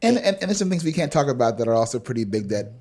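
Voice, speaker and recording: A man's voice: mid-range voice, very animated, racing, darkish tone. An American man co-hosting a casual podcast interview, speaking in an affirming, emphatic way.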